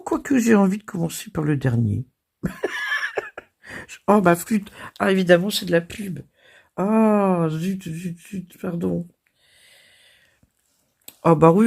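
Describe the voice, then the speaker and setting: A French woman hosting her crafting channel, hoarse from a cold, chatting casually and laughing at herself. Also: medium pitch, a little mellow. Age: older. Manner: chatty and self-deprecating, laughing and coughing. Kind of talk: casual chat.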